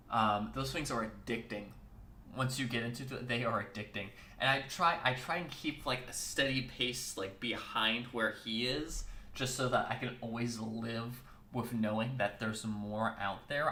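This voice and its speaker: Hoarse man rambling in a roomy space. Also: on the low side, bright, forceful tone, a little hiss or hum. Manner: rambling, filler-heavy, self-correcting. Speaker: host. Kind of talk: casual solo chat.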